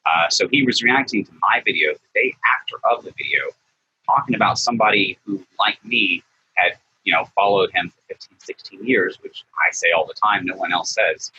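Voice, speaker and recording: A man speaking as an interview guest in a monotone. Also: low voice, moderate pace, husky, highs cut off. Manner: monotone, forceful. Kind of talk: interview conversation.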